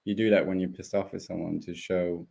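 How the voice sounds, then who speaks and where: A young man whose first language is German, with a low, dark, mellow voice. He rambles through a relaxed live chat, restarting sentences and leaning on fillers. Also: brisk, vocal fry. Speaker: guest speedway rider. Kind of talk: casual live interview.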